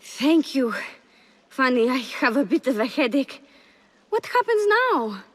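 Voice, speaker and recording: A high, lively, scheming palace girl. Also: unhurried, heavily acted. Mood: sheepish, evasive. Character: young scheming palace girl, coy, fiercely loyal to her mistress. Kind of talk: video game cutscene acting.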